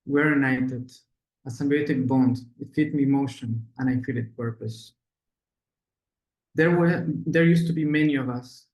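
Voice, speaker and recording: A man's voice: medium pitch, darkish tone, reverberant, rough recording. Male presenter, mid-range voice, student project delivery. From Ecuador. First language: Spanish. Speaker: student presenter, product designer. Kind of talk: project presentation, moving into scripted narration.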